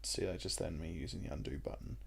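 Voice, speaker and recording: Croaky young Australian man. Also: deep voice, quick pace. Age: young adult. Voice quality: croaky, gravelly voice. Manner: rambling and relaxed, with many ums. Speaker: gaming YouTuber. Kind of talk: casual let's-play commentary.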